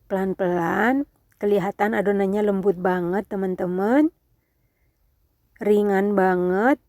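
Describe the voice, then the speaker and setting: A woman with a nasal, congested voice from a cold gives a casual cooking tutorial, gently instructing her viewers. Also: mid-range voice, unhurried, no echo, clean studio sound. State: has a cold. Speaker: home cooking video host.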